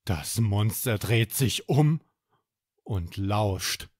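Guy reading a kids bedtime story, unhurried n expressive, close dry sound. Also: fairly low pitch, no room sound. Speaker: children's podcast host and storyteller. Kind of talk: children's bedtime story narration.

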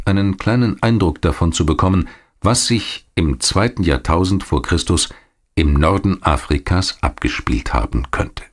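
A man narrating a scripted history documentary in a measured, articulate storytelling manner. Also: deep voice. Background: music.

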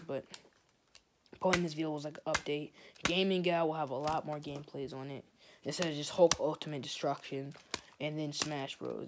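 A man's voice: a little high, background noise. A teenage boy vlogging in a small bedroom into a phone camera held close. He talks in a loose, rambling way, full of "like", and his unsteady voice tends to crack. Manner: rambling, full of "like", drifts off topic. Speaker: gaming channel vlogger. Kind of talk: rambling casual vlog.

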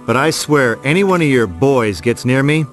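A brash American tough guy acted by a man with a medium-pitched voice and a deadpan, wisecracking delivery. He is a sarcastic, reluctant video game hero, with faint music behind him. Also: normal speed, natural voice. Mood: sarcastic, irritated.

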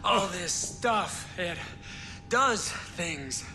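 An actor playing an eager, lonely amateur tinkerer who talks in an excitable, halting and awkward way. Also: male voice, reverberant, exaggerated voice. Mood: amazed. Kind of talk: video game cutscene acting. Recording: clear rumbling background noise.

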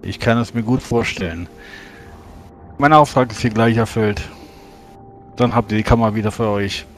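A man's voice: lowish voice, a little hiss or hum, close to an everyday voice. A man voicing a haughty male agent of a court mage in a tabletop game, speaking formally and commandingly. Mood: insistent. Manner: formal, commanding, measured threats.

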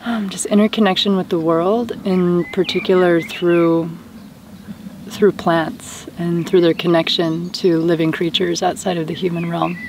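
Low-voiced young woman from the United States. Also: level tone. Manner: earnest, passionate, measured. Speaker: herbalist and permaculture teacher. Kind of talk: casual interview talk. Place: outdoors in a dry rural field. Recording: outdoor countryside noise. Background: birds in the countryside.

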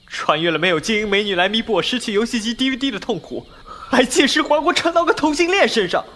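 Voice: mid-range voice, animated, fast, fairly bright tone. An actor playing a brash, slangy, easily flustered young man in an audio drama. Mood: exasperated. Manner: crude, slangy, exclamatory.

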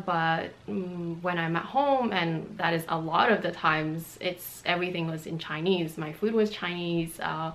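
Chatty young woman vlogging at home. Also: lowish voice. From Canada (Montreal). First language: Cantonese. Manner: chatty, animated hand gestures, frequent fillers. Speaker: beauty and fashion vlogger. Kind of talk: casual chat to camera. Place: bright bedroom indoors.

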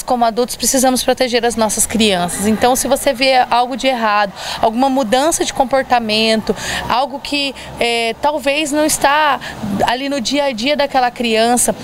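A woman's voice: on the high side, a little hiss or hum. Earnest woman interviewed outdoors. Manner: earnest, explanatory, with hesitations. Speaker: education official. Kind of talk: on-camera news interview. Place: outdoors by a building, street traffic. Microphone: handheld reporter mic.

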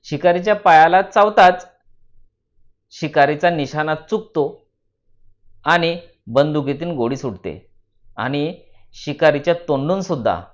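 A man tells a simple moral tale for children. He is deliberate and instructive, leaning on repeated phrases. The recording is a little dull and lo-fi. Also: fairly high pitch, expressive. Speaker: storyteller and channel narrator. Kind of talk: children's moral story narration.

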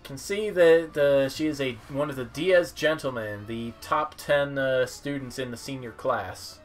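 A man giving casual game commentary in a halting, musing way, sometimes reading text aloud. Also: medium pitch. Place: indoor room at home, seated. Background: game music.

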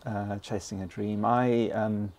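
A man's voice: fairly low pitch, fairly even intonation, slow pace, a little mellow. A South African man in his fifties talking in a podcast interview, hesitant and often repeating words. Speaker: bison ranger, podcast guest. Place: small log cabin. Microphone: close podcast mic on boom arm.

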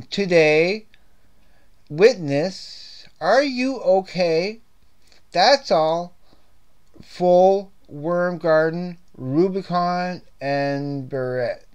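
A man's voice: a little high, clear, resonant tone. A man hosting his own CD review series, chatting casually and rambling, repeating words and restarting sentences, with a slightly scratchy throat. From Canada. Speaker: host of a CD review video series. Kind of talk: casual unscripted music album review.

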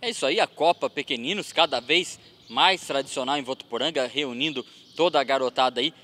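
A man's voice: mid-range voice, a little hiss or hum. A man in his thirties, a field reporter interviewing a guest in a sports gym with a handheld mic. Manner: brisk, bright questioning.

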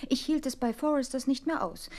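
A woman playing an anxious, sentimental young gentlewoman in a German radio play, emotional and genteel. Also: high-pitched, a little fast, lightly acted.

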